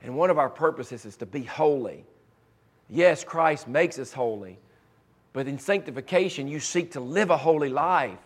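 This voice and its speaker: A man preaching a sermon, his voice raspy from cheering at ball games and allergies, with a fervent way of building to emphatic, repeated lines. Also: a little high, moderate pace. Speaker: pastor.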